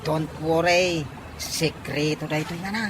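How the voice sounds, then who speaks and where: A man's voice: on the high side, steady tone, measured pace, very noisy, natural voice. A man with an Ilocano accent playing a teasing, sly villager in a comic drama.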